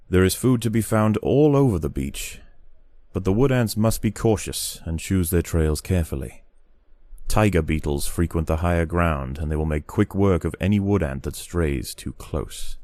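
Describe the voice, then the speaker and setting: A man narrating in a scripted nature documentary style for a video game, measured and grave. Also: sits low, a little mellow, no room sound.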